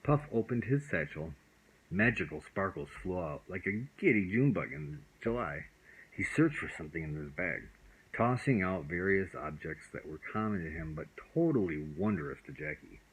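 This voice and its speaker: A man reading a children's bedtime story as a father, in a deliberate way with the odd stumble. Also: low voice, expressive, breathy edge, poor audio.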